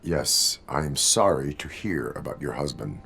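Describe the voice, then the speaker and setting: A man voicing a stern, dutiful cyborg police officer in a video game, speaking in formal, clipped, deadpan phrases. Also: very deep voice, measured pace, creaky, full character voice. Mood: solemn. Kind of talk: video game cutscene acting.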